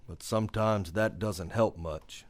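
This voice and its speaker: Guy acting tired american western marshal, lowish voice, terse n grave, brooding, radio play. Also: measured pace, close to an everyday voice. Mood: grim, brooding. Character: weary, stern, brooding western marshal, a man.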